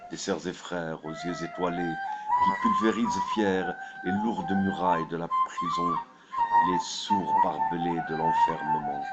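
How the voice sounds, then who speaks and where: A man reciting his own poem in a solemn, declamatory way, with measured phrasing. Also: low-pitched, highs cut off. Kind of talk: solemn poetry recitation with a dedication. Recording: voice over prominent flute music. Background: flute music.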